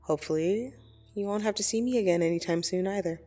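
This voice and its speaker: A woman playing a blunt, teasing yet soothing dream demon in an ASMR roleplay, speaking deliberately and intimately with gentle condescension. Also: mid-range voice, lightly acted. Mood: blunt, candid. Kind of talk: ASMR roleplay audio drama. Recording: soft music behind the voice. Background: soft meditation music.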